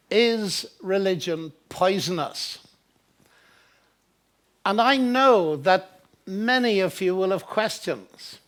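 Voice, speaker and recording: An older man from Northern Ireland with a Northern Irish accent, giving a public lecture with genial, self-deprecating humor. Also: high-pitched. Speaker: guest lecturer. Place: hotel lecture hall with a large audience.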